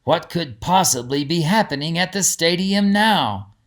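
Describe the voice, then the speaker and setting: A man's voice: a little high, animated, measured pace, a bit bright. A man voicing an optimistic fantasy traveler in a storybook, speaking in an upbeat, speculating way. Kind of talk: storybook character dialogue.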